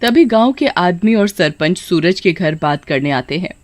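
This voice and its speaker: Casting: storyteller for scripted kids' tales, very animated intonation, dramatic delivery. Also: mid-range voice, moderate pace, no echo. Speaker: narrator. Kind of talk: scripted children's story narration in Hindi.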